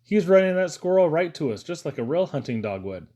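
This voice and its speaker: A man voicing a gruff but caring father, a seasoned hunter with a folksy, instructive way of talking. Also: on the high side, sing-song, a little fast, mellow, voice pushed up. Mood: surprised, proud.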